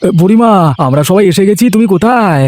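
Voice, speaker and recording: A man voicing an excitable, good-natured village man in a cartoon, loud and effusive. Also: high voice, fast talker, background noise. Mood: cheerful. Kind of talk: Bengali cartoon voice acting.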